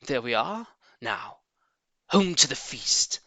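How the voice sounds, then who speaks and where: A man's voice: mid-range voice, sing-song, slow, sharp tone, dry recording, exaggerated voice. A man voicing a dashing, cheerful fox father in a storybook, urging and confident in manner. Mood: cheerful, spirited. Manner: spirited, urging.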